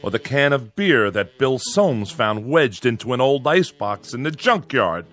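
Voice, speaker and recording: An actor playing an anxious middle-aged small-town father in a radio drama, folksy and halting, placating his feared son with forced cheer. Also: mid-range voice, big pitch swings, fairly bright tone, soft background music. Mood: weary. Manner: folksy, rustic grammar.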